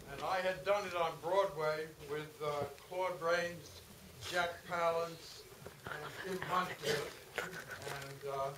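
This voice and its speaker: A man in his eighties, a veteran actor, telling an anecdote to a live audience in a halting, deliberate way. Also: a little high, reverberant. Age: 80s. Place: theater auditorium. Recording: distant theater mic, loud crowd laughter, heavy noise.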